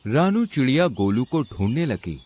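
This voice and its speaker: A man's voice: medium pitch, very animated, moderate pace, darkish tone, a little hiss or hum. A man narrating a scripted animal fable as a storyteller for a cartoon.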